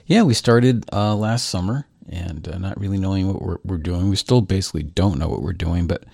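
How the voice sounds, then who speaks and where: Male podcast co-host, husky, dry close-mic sound, rambly and self-mocking. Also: low voice, fairly quick, a little mellow, no echo. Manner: rambling, self-deprecating, many restarts. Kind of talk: casual chat. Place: home studio, recorded locally over Skype. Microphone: large-diaphragm dynamic mic, close.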